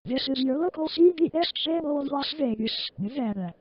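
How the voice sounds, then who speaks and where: A woman's voice: high voice, animated, fairly quick, lo-fi sound. A woman playing a formal local TV emergency alert announcer, reading an official scripted warning. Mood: official, serious.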